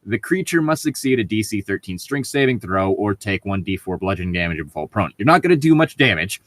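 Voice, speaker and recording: A man's voice: on the low side, sing-song, brisk, fairly bright tone, no room sound. A man co-hosting a podcast, chatting casually and reading stories aloud. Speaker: podcast co-host.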